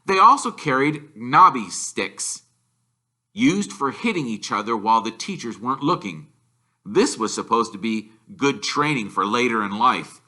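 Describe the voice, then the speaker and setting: A man's voice: medium pitch, lively intonation, unhurried, sharp tone. A man reading a children's novel aloud as a teacher would, in storybook narration.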